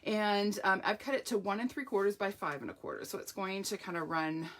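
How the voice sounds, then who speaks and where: A middle-aged woman from Canada, hosting a chatty live craft demonstration with a hoarse, croaky voice and greeting viewers by name. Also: medium pitch, fairly quick, a bit bright. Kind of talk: live-stream craft demo.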